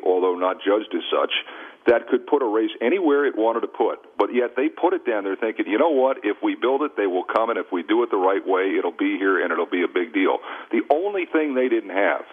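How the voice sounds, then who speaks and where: A man's voice: on the low side, slightly rough, narrow, tinny audio. A man talking conversationally on a sports radio talk show, repeating phrases to drive his points home. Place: radio studio. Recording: thin, band-limited radio broadcast.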